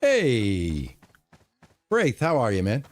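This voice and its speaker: A middle-aged man streaming a farming game, talking easily to his chat in a calm, lulling voice. His pitch rises and falls a lot as he reacts to the game. The sound is close and dry, with no room echo. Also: very slow. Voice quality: lulling, relaxing voice. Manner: relaxed, chatty, talks to chat. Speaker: gaming streamer. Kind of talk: live gameplay commentary.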